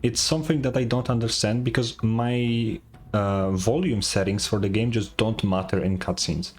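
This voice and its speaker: A man's voice: on the low side, moderate pace. A man who is not a native English speaker, giving casual commentary on a game, musing aloud and swearing. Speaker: gaming streamer. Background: game music.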